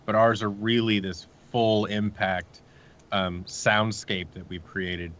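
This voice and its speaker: Low-pitched man chatting, dull lo-fi sound. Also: flat delivery, slow pace, a bit bright. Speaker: guest, audio drama creator. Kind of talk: casual conversational pitch.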